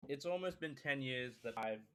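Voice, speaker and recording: A man's voice: medium pitch, animated, normal speed, sharp tone, smooth. A man in his early twenties from Sri Lanka who later moved to Australia, chatting casually to viewers in a hesitant, rambling way with repeated phrases. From Sri Lanka, then Kuwait and Australia. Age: early 20s. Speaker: streamer introducing himself.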